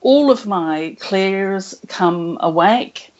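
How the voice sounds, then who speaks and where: Woman guest on a call, slow and thoughtful, soft little laughs, kinda dull lo-fi sound. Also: mid-range voice, expressive. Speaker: guest channeler. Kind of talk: casual interview chat. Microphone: internet call. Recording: muffled call audio.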